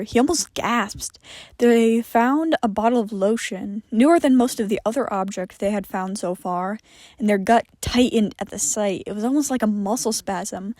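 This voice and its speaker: A woman's voice: medium pitch, a little mellow, no room sound, clean studio sound. A woman reading scripted fiction aloud as a narrator.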